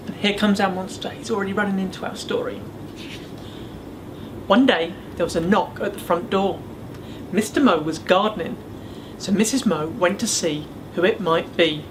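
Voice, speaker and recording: A man reading his own picture book aloud as the author, in an expressive storytelling style for children. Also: sits high, moderate pace. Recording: faint background noise.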